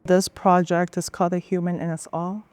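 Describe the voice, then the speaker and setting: A woman's voice: on the low side, flat delivery, moderate pace, darkish tone, clear, resonant tone, dry recording. A woman from Toronto whose first language is Cantonese, a blunt and reflective artist speaking in a documentary interview. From Toronto, Canada. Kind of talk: documentary interview and spoken-word reading.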